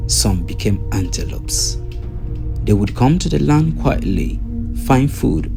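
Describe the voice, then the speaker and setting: A man narrating a scripted folktale in a measured storytelling manner, in short phrases with pauses. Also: fairly low pitch, vocal fry.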